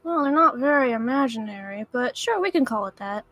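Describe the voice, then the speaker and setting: A woman's voice: a little high, expressive, a little fast, fairly bright tone, no echo, soft background music. A woman playing in a tabletop role-playing game, chatty and playful, laughing often. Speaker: player.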